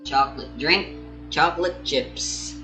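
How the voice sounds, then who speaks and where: A woman's voice: low voice, slow pace. A woman giving casual, offhand gameplay commentary in muttered asides on a roomy mic, with game music behind her. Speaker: game streamer. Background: loud game music.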